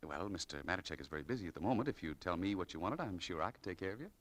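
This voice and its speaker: Casting: frank shop clerk, bright sharp tone, very quick and insistent, 1940s radio play. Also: male voice, sits low, expressive. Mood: courteous. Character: frank, harried male head shop clerk.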